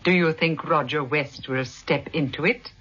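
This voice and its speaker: An actress playing a sultry, cruel and devoted villainess in a vintage radio drama, with a cooing, languid manner. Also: female voice, low voice, moderate pace, a bit bright, breathy edge. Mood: submissive, devoted. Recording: dull, muffled vintage radio recording.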